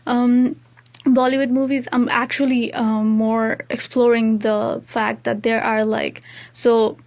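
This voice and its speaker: A woman's voice: on the high side, level tone, slow, a little mellow. A young woman from Nepal with a Nepali accent and Maithili as her first language, chatting informally and reflectively as an interview guest. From Tarai region of Nepal. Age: young adult. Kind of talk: casual conversational interview.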